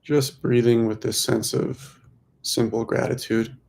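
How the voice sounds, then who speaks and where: A man leading a guided meditation in a soothing, unhurried manner, speaking in short phrases with long pauses. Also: medium pitch, flat delivery, creaky, a little mellow, slight background noise. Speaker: meditation guide, host of a weekly group.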